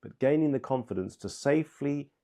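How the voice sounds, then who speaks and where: A man with a soft-edged, mellow voice at a middling pitch presents scripted instruction as a medical trainer. He speaks in a measured, step-by-step manner and leans firmly on key words. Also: animated. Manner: emphatic, measured, step-by-step delivery.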